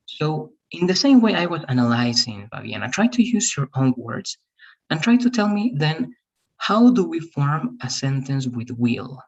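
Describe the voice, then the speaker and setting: Guy teaching english online, husky, keeps asking all right?. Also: a little high, very animated, moderate pace, lo-fi sound. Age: 30s. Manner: patient, explains step by step, many "all right?" checks. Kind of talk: online grammar lesson.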